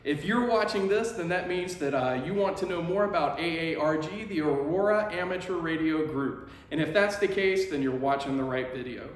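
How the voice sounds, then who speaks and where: A man's voice: fairly high pitch, moderate pace, few pauses. A man talking casually to camera, presenting a club as one of its members. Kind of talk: casual promotional talk to camera. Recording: echoey, reverberant room.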